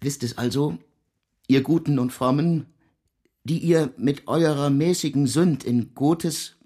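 An actor playing a tormented middle-aged composer in a radio drama, speaking a halting, repetitive, solemn confession in archaic formal language, with a husky voice. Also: male voice, a little high, dark tone. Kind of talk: German radio drama monologue.

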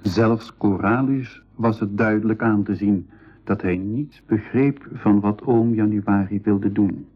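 Slow, husky storytelling nephew. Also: male voice, fairly low pitch. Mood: measured. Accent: Belgian Dutch. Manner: measured, storytelling. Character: nephew narrating his world journey, thoughtful, measured. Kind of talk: scripted radio play narration. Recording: phone-like, narrow 1980s radio recording.

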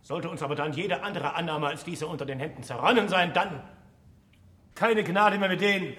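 A passionate man of science speaks in a fairly high voice with theatrical weight. He is stubborn and questioning, setting out each phrase deliberately and emphatically. The radio play recording has a clearly reverberant room around him. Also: bright, forceful tone. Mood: stern command. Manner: declamatory, deliberate, emphatic stage delivery.

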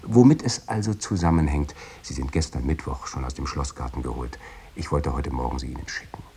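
Devoted letter writer, very low voice, breathy husk, intimate, hesitant, radio drama. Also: male voice, brisk, dark tone, full character voice. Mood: hesitant, self-doubting. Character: devoted man voicing a tender, self-doubting letter.

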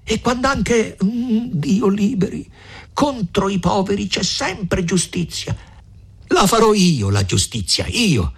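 A man's voice: sits high, expressive, takes it slowly, breathy edge, voice pushed up, exaggerated voice. A man voicing a furious, vengeful young peasant man in a storybook reading, declaiming wildly. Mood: indignant, furious. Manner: shouting, wild, declamatory.

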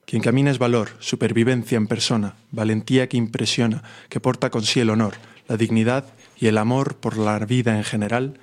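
A man recites rhyming verse for a radio program. His pitch barely moves, and the recital stays steady and even. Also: brisk, few pauses. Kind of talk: poetry recitation.

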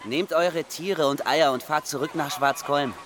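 A plain-spoken mill hand in a radio play, played by a man. His voice sits slightly high. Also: unhurried, background noise, close to an everyday voice. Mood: firm, advising.

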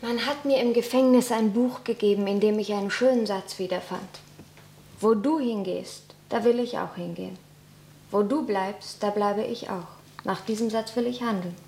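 A woman acting as a resolute young daughter in a radio play. She speaks quietly and solemnly in a mid-pitched voice, in an echoey room with faint noise. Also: moderate pace, gravelly, close to an everyday voice. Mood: solemn, pleading. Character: earnest, resolute young daughter pleading with family.

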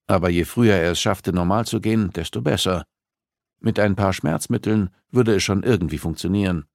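Male narrator, scripted novel reading, low pitch, gravelly creak, dry sound. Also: fairly quick, dry recording. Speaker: audiobook narrator.

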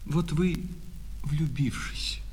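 A man's voice: fairly high pitch, very slow, a little mellow, a little hiss or hum. A man playing an obsequious, wounded male shop clerk in a radio play, switching between servile sales patter and bitter reproach. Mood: jealous, reproachful. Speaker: actor. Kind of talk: radio play acting of a classic short story. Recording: reverberant hall, music behind.

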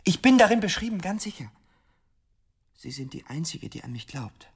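An actor playing an agitated, self-absorbed male patient in an institution, halting and formal, pleading in a radio drama. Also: fairly high pitch, sing-song, gravelly, slightly rough, exaggerated voice. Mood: pleading, grateful.

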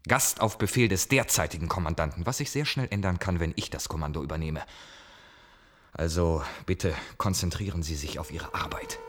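An actor playing a stern, curt second-in-command of a starship in a German science-fiction radio drama, clipped and commanding. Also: male voice, low-pitched, normal speed, a bit bright. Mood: cold authority. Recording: clearly noisy old radio play recording.

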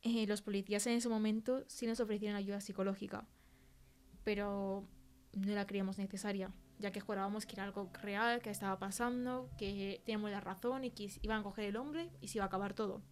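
A woman's voice: mid-range voice, steady tone, fairly quick, a little mellow, natural voice. A woman with a Spanish accent playing a film worker who gives conversational testimony as an interview guest. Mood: earnest. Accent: Spain. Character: female film crew worker recounting a frightening experience.

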